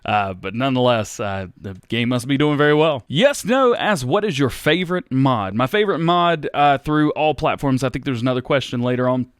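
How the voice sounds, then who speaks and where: An American man in his thirties, hosting a casual Q&A on his gaming channel, reading out viewers' questions and answering in a relaxed, rambling way. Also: medium pitch, normal speed, clean tone, no echo, studio quality. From United States. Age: 30s. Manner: relaxed and rambling, with many fillers and restarts. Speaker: YouTube gaming host.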